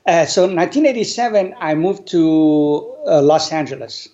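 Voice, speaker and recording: A man, an English speaker, telling his life story earnestly and deliberately as an interview guest. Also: fairly high pitch, lively intonation, mellow. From Lebanon. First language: not English. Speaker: guest, business owner. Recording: dull lo-fi sound.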